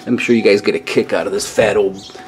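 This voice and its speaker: A man's voice: on the low side, steady tone, brisk. A middle-aged man giving a casual hands-on walkthrough in a folksy, colloquial way, recorded on a handheld camera. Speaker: DIY vlogger. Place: in and around a pickup truck at a garage. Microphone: handheld camera mic. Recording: noisy handheld camcorder audio.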